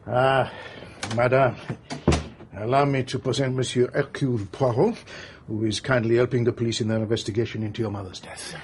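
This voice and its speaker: An actor playing a worldly, wry French police inspector in a radio drama, polite and questioning, with a French accent. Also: male voice, medium pitch, steady tone, moderate pace, pauses often, slight background noise, lightly acted. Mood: courteous.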